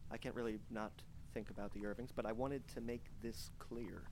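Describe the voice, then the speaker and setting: Man acting a halting, frightened survivor on noisy tape. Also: medium pitch, steady tone, close to an everyday voice. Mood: weary, subdued. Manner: halting, hesitant, stammering. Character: frightened young man trapped alone, recording an apocalypse diary. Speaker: actor in an audio drama. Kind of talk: naturalistic horror audio drama monologue.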